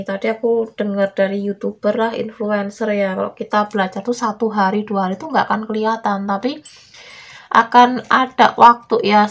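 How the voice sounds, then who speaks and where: Hoarse woman giving a gentle tarot reading. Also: medium pitch. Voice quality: weak, small voice. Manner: soft, reassuring, drawn-out. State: hoarse from a cold. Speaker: tarot reader.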